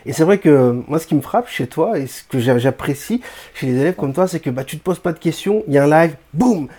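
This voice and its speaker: A man, a bass teacher, interviewing a student and explaining his teaching in an encouraging way. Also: animated, a little fast, mellow.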